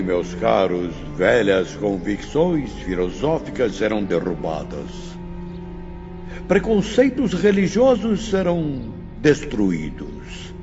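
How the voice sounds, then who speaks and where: A man playing a grave spirit lecturer who addresses students in a solemn, emphatic, deliberate audiobook drama style. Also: medium pitch, exaggerated voice. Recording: muffled, long hall reverb.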